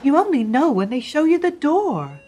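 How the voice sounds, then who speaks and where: A woman's voice: high-pitched, sing-song, normal speed, warm tone. A woman playing a chatty bank worker who passes on office rumors in a radio play. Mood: gossipy. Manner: chatty, gossipy. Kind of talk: amateur radio play acting.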